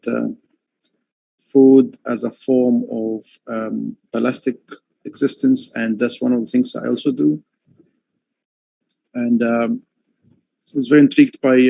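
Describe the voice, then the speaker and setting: A man from Egypt speaks slowly and haltingly, filling his pauses with "um" and "uh" as he explains his art. His voice has a gravelly edge, and the sound is dry and close, with no room echo. He talks over a headphone setup on a live call. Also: medium pitch, dark tone. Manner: hesitant, many fillers and restarts. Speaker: artist. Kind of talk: livestream talk.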